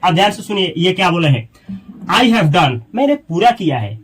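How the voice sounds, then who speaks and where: A man with a high voice teaches a spoken English lesson. He explains in Hindi and says each English model sentence slowly and carefully. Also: sing-song, husky.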